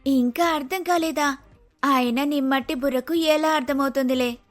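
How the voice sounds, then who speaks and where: A woman's voice: high voice, fairly even intonation, normal speed, faint music behind, lightly acted. A woman voicing a bold, scheming undercover officer in a dramatic story, commanding and confident. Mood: taunting, triumphant. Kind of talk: dramatic moral-story voice acting.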